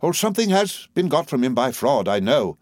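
A man voicing a good-natured, businesslike male agent in an audiobook, polite and quietly firm. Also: mid-range voice, animated, normal speed, dry recording, clean studio sound, pitched above the performer's own voice, lightly acted.

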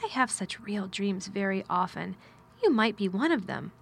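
A woman's voice: mid-range voice, expressive, moderate pace, creaky, fairly bright tone. A woman voicing a sickly, fretful young boy in an audiobook, plaintive and peevish in manner. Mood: wary, demanding. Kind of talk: audiobook character dialogue.

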